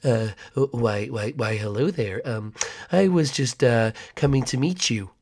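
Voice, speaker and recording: Man putting on a sinister neighbor, slow oily folksy drawl, hushed and lower than his own voice. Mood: creepy. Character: creepy smooth-talking male new neighbor.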